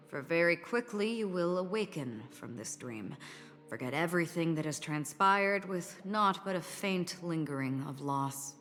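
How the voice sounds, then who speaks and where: Game role: calm, wistful galaxy ranger played by a woman, lowish voice, formal and cryptic, music behind. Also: natural voice. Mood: solemn, soothing. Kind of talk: video game story acting. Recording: long reverb, clear music behind.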